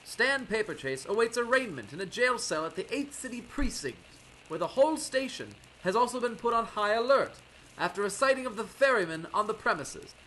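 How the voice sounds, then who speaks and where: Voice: mid-range voice, expressive, a little fast, a bit bright, slight background noise, poor audio. A narrator reading scripted narration for an old-time radio drama.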